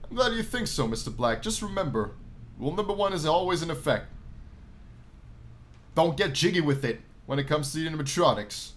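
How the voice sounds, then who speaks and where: A man's voice: fairly high pitch, animated, fairly quick, pauses often, close to an everyday voice. A man voicing a playful, flirty female animatronic nightclub hostess with a teasing, poised manner in a video game.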